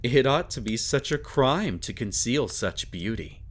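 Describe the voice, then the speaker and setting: A man's voice: medium pitch, animated, unhurried. A man playing a suave, sadistic vampire lord in a roleplay audio, speaking in a theatrical, courtly and teasing way with seductive menace. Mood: admiring, seductive. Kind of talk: scripted roleplay audio, intimate dramatic monologue.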